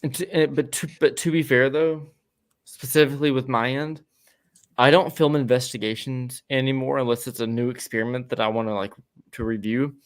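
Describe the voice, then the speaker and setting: A male podcast co-host chatting over a remote call. His pitch is mid-range for a man. He talks in loose, rambling bursts and swears offhandedly. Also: fairly even intonation, brisk. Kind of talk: casual chat.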